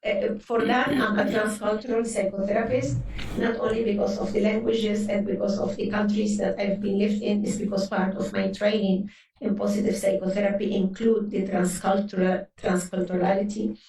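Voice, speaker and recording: A woman's voice: mid-range voice, level tone, normal speed, a little mellow, slight background noise. Woman in her 60s, distant roomy mic. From Lebanon. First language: Arabic. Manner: earnest, often repeats and restarts words. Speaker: guest psychotherapist. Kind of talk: interview conversation.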